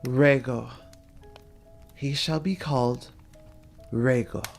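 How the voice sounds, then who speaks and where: A man voicing a proud young noblewoman in a dramatic story reading, imperious and deliberate. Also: mid-range voice, fairly even intonation, faint music behind. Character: proud young noblewoman, a queen finding her confidence.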